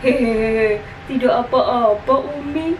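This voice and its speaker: A woman's voice: a little high, takes it slowly. A woman voicing a curious, innocent little boy who asks polite, eager questions in a children's story. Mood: meek, agreeable. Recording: roomy, distant, noisy recording.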